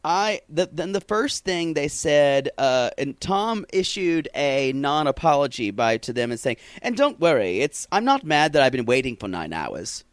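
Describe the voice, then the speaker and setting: A man's voice: fairly high pitch, big pitch swings, moderate pace, few pauses, no echo, clean studio sound. A man co-hosting a podcast, chatting casually and animatedly, laughing often and restarting his sentences. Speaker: podcast co-host. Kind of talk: casual recap chat.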